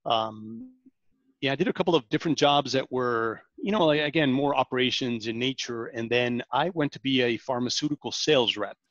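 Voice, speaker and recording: A man in his forties from Venezuela speaks as a podcast guest, pausing often on ums and restarting his sentences. The sound is dull and a bit lo-fi, with a dry, close feel. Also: medium pitch, fairly bright tone, dry recording. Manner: halting, many ums and restarts. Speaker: sales director, podcast guest. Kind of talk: interview conversation.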